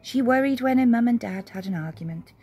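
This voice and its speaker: A woman reading a children's story expressively, with dramatic pauses and emphasis. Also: on the high side, expressive, a little mellow, a little hiss or hum.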